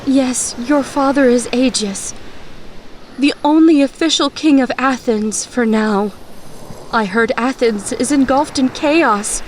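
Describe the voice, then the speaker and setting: A woman voicing a loving, worried mother in an animated story, speaking tenderly and solemnly. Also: high-pitched, slow pace, a little hiss or hum. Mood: earnest. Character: loving mother of a young hero, worried, wise.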